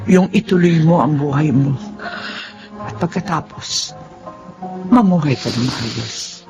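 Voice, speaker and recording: An actress playing a wise, stern Filipino mother in a melodrama, admonishing in an earnest, measured way. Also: low voice, expressive, over music, full character voice. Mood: gently admonishing. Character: stern, wise Filipino mother counselling her grown child.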